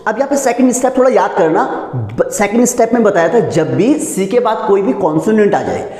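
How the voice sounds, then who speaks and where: A man's voice: high-pitched, lively intonation, normal speed, darkish tone. Indian man teaching in an echoey room. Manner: didactic, repeatedly asks questions and answers them. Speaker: English pronunciation teacher. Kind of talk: classroom lesson in spoken English. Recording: echoey room with long reverb.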